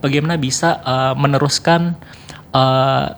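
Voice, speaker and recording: A man's voice: mid-range voice, fairly even intonation, unhurried, fairly bright tone. A man, giving explanatory, point-by-point answers as a radio interview guest. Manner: explanatory, orderly, enumerating points. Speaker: youth organization board member, radio guest.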